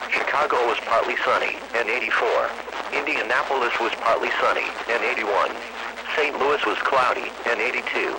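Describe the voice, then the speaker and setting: A synthetic-sounding man reads a formal weather bulletin in a measured, impersonal way as an automated announcer heard over radio. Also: medium pitch. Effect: radio broadcast reception. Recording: very noisy weather-band radio reception.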